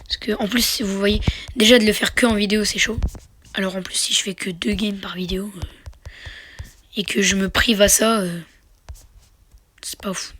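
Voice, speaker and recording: A young man commenting on his own mobile gameplay, with a sore throat and a slight cold, switching between excited slangy cheering and quiet muttering under his breath. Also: sits high, moderate pace, long pauses, slightly rough. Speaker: mobile game youtuber.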